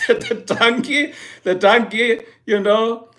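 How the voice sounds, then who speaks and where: A man's voice: extremely high pitch, fairly bright tone. A man with a German accent hosting a livestream, chatting casually with viewers in a joking, rambling way and laughing often. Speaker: livestream host.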